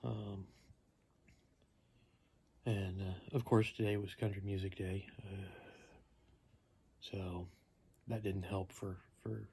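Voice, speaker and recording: A tired man in his fifties rambling casually to camera, halting and low-key as he thinks aloud. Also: flat delivery, vocal fry, darkish tone, husky. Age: 50s. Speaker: vlogger. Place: small living room at home, indoors. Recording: noticeable background noise.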